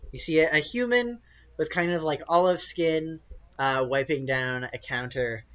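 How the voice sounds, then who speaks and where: A man's voice: a little high, unhurried, fairly bright tone, highs cut off. A man narrating a tabletop game as game master, improvising casually and searching for his words.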